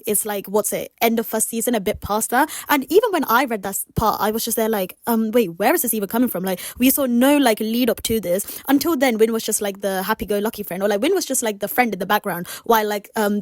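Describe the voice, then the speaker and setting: Hoarse, fast-talking woman reviewer. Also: mid-range voice, a little mellow, dry recording, clean studio sound. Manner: rambling, filled with "like" and "you know". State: hoarse, sore voice. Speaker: webtoon reviewer, channel host. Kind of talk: casual review chat to camera.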